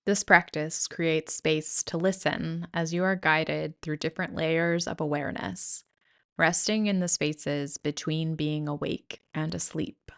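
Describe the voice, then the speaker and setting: A woman guiding a yoga nidra meditation in a soothing, gentle, measured way with pauses. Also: low voice, creaky. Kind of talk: guided meditation narration.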